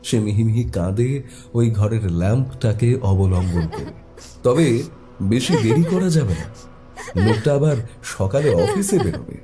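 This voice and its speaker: A man narrating a scripted horror story in a dramatic, measured way with weighty pauses. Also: on the low side, lively intonation, over music.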